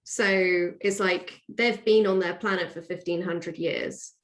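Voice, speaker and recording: A woman speaks as a guest in a casual interview, explaining things conversationally. Her voice is on the low side, and the room around her is clearly echoey. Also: fairly even intonation, a little slow. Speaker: podcast guest, author. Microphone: own microphone on remote call. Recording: roomy, echoey sound.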